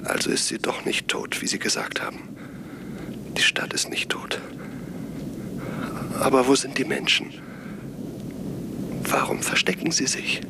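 An actor playing an agitated, suspicious investigator in a 1970s German radio play, halting and repeating himself as he presses his questions. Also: medium pitch, steady tone, creaky, in a whisper. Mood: hushed, uneasy. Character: agitated, suspicious male investigator demanding the truth.

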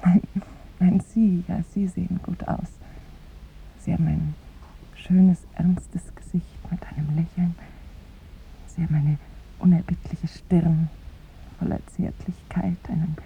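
A woman's voice: fairly low pitch, fairly even intonation, a little slow, creaky, pauses often, breathy edge, heavily acted. An actress playing a tender, lovestruck young woman in a 1950s German radio play, with wistful, lyrical phrasing that often sinks to a whisper. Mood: tender admiration. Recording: muffled, phone-like 1950s radio recording.

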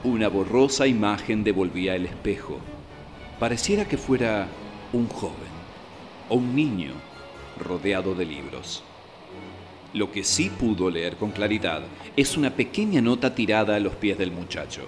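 A man's voice: on the low side, expressive, normal speed, natural voice. A man narrating a fantasy quest as a solemn storyteller in a radio drama. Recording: long reverb, loud music behind.

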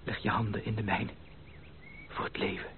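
An actor playing an ardent, determined gentleman suitor, emphatic and romantic, in a Dutch radio play. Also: on the low side, level tone, normal speed, lightly acted. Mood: fervent, intimate. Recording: narrow, noisy old radio play recording.

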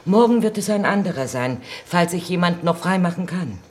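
An actress playing a blunt, unsentimental nurse who reluctantly speaks for a government, curt and matter-of-fact in a 1950s radio play. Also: female voice, lowish voice, animated, moderate pace, close to an everyday voice. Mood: brusque, unimpressed. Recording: noisy 1950s radio recording.

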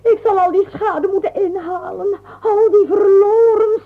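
A woman's voice: fairly even intonation, a little slow. A woman playing a wailing, melodramatic chatterbox wife in a comic radio play. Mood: tearful, overwrought. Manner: moaning, overwrought, shrill. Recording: tinny, noisy old radio recording.

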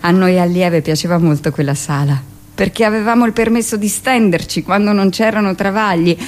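An actress playing a hardened midwife, recounting hospital memories matter-of-factly in a theatrical radio monologue. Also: female voice, medium pitch, brisk, darkish tone, natural voice. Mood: matter-of-fact recollection.

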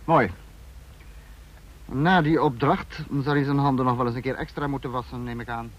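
A male actor plays a calculating leader of a fascist state in a radio drama. He questions and weighs things with measured authority. The sound is dull, like an old broadcast, and his pitch sits in the middle. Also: fairly even intonation, slight background noise, close to an everyday voice. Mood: pensive, calculating. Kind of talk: Dutch radio drama acting. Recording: dull vintage radio recording.

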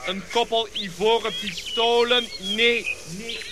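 An actor playing an expedition officer who declaims clipped orders in a radio drama. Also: male voice, very high-pitched, fairly even intonation, slow, noisy background. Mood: brisk, commanding. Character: commanding expedition officer ordering a procession of bearers.

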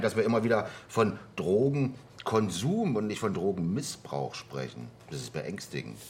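A man's voice: very deep voice, a little hiss or hum. An actor playing a stern, sceptical conservative male politician, speaking formally and pointedly in a radio drama parliamentary debate.

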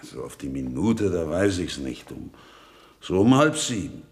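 An actor in a radio play playing a gruff, hot-tempered farmer who is curt and defensive. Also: male voice, low voice, sing-song, unhurried, darkish tone, full character voice. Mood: sullen, defensive. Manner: curt, defensive, easily provoked. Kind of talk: German radio play acting.

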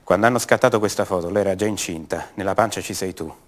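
A man's voice: low voice, quick pace, close to an everyday voice. An actor playing a troubled young colonel's son in a radio monologue, hesitant and defensive, repeating himself as he doubts who he is. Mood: shaken, subdued. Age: young adult.

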